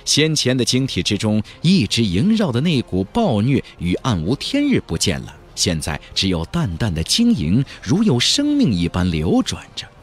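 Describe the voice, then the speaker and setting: A man reads fantasy fiction as a dramatic audiobook narrator. His middle-pitched voice is husky and moves through very wide intonation. Faint music runs behind him. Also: wildly swooping pitch, moderate pace, hardly any pauses.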